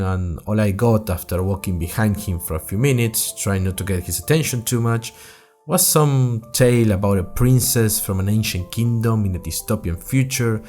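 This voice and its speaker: A man's voice: lowish voice, close to an everyday voice. A man playing a curious young student journalist, narrating his adventures in a chatty, wry way as host of a fictional podcast. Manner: chatty, wry, expressive storytelling. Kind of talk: scripted first-person audio drama narration in chatty podcast form.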